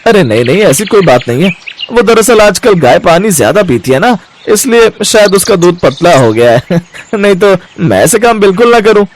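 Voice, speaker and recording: A man voicing a greedy, dishonest milk-delivery man with a glib, scheming manner in an animated moral story. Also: high voice, normal speed, a little hiss or hum. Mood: evasive.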